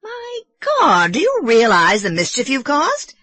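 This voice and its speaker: A woman's voice: high-pitched, huge pitch swings, measured pace, no echo, voice pushed up, heavily acted. A woman voicing an irritable middle-aged man who is ill, questioning sharply. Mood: agitated. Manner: sharp, interrogating.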